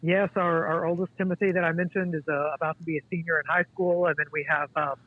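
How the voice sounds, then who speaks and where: A man in his forties, a guest author, speaking in a halting, thoughtful way with many restarts. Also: on the high side, flat delivery. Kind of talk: casual interview conversation. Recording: tinny dry phone line.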